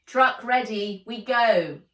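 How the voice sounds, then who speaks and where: A woman's voice: fairly high pitch, slow pace, sharp tone, reverberant, distant mic, pitched above the performer's own voice. Woman doing a cocky boy, clipped speech. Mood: commanding. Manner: terse, broken phrasing. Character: cocky, teasing boy guide speaking clipped broken English.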